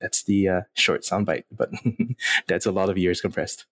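Low-voiced man from Singapore, relaxed. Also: normal speed, no echo. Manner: relaxed and reflective, frequent small laughs and restarts. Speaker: guest. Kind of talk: casual interview conversation.

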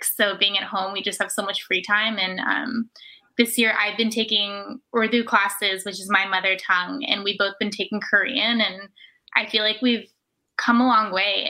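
A woman guest on a casual podcast chat, speaking thoughtfully with frequent pauses. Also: medium pitch, pushed and bright, poor audio.

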